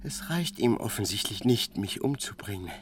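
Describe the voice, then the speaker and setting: Man acting a hushed, distraught, pleading man. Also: lowish voice, expressive, moderate pace, lightly acted. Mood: despairing, self-pitying. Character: distraught, confused man pleading illness after attacking a woman. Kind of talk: radio drama acting. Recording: music behind.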